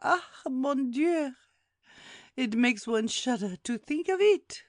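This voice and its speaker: A woman's voice: sits high, lively intonation, no echo, studio quality. A woman playing a fretful, exclamatory elderly housekeeper in a dramatised classic audiobook. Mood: grumbling. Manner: exclamatory, gasping, drawn-out lamenting.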